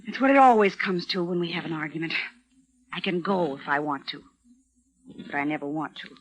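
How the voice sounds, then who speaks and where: An actress playing a fed-up, sarcastic wife of a crook, biting and nagging, in an old-time radio crime drama. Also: female voice, low-pitched, brisk, close to an everyday voice, poor audio. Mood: bitter, resigned.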